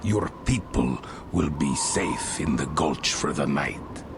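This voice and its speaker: Hospitable dwarf monarch, very low gravelly voice, slow, emphatic, game cutscene. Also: male voice, expressive, noisy background, heavily acted. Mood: stately, grateful. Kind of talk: video game cutscene acting. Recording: loud music behind. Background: loud music.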